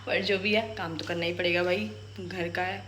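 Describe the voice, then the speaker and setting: A woman's voice: on the low side, fairly even intonation, normal speed, fairly bright tone. Young woman, home vlogger, breezy chatter, echoey room with noise behind. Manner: chatty, mixes English words into Hindi. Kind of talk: casual vlog chat. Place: home kitchen.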